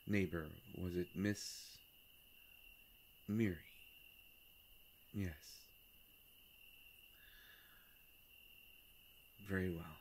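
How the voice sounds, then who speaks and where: A man playing a courteous, melancholy vampire in a roleplay audio drama, speaking formally and hesitantly with long pauses and a gravelly voice. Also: slight background noise. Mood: polite, reserved. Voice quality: gravelly, creaky low voice. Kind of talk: scripted roleplay audio drama addressed to the listener.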